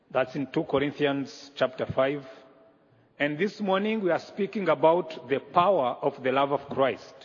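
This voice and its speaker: A man from Rwanda preaching as a guest pastor, earnest and measured with pauses, in a church sanctuary. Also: on the high side, breathy edge. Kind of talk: sermon. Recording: echoey hall, dull lo-fi sound.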